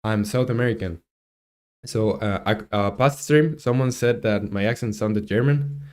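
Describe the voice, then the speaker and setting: A man in his twenties with a Chilean Spanish accent, talking through his coding on a live stream, halting and thinking aloud with many fillers. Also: fairly low pitch, darkish tone. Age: 20s. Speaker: game developer streamer.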